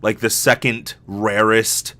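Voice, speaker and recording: A man chatting casually as a solo podcast host, rambling and animated, swearing freely and often interrupting himself. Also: fairly low pitch, slow, dry recording.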